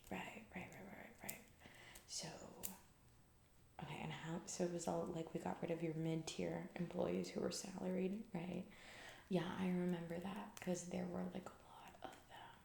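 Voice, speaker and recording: A woman playing a callous, self-absorbed, distracted female CEO in a soft-spoken ASMR comedy roleplay, breathy, rambling and trailing off. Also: on the low side, reverberant, slight background noise. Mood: absent-minded, then breezy, callous. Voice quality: breathy soft voice with heavy vocal fry. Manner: rambling, trailing off, vague filler-heavy corporate talk. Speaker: ASMR performer.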